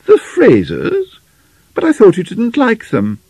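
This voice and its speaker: Placid and faintly surprised, this is a genteel middle-aged mother from a storybook, played by a male narrator. The pitch sits high and swoops freely, and the manner is fussy and full of endearments. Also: huge pitch swings, measured pace, dry recording, exaggerated voice. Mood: simple wonder. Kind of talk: audiobook character dialogue.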